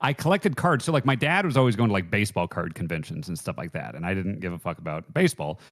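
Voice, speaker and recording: A man chatting casually as a podcast panelist, in a halting, rambling way. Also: sits low, animated. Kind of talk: casual chat.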